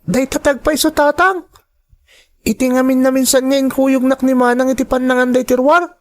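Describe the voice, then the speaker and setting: Woman acting as young child, high-pitched, innocent, caring, questioning, earnest radio drama delivery. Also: little pitch movement, moderate pace, lightly acted. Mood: hesitant, confiding.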